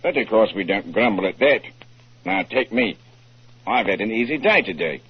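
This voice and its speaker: Genial police inspector, low husky voice, matter-of-fact, muffled 1940s radio sound. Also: male voice, expressive, moderate pace. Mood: genial, chatty. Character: genial, deferential, plain-spoken London police inspector.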